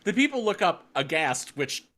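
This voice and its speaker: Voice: medium pitch, big pitch swings, moderate pace, a bit bright. A co-host reading a script's stage directions aloud and breaking off with sarcastic asides. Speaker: table-read narrator and co-host.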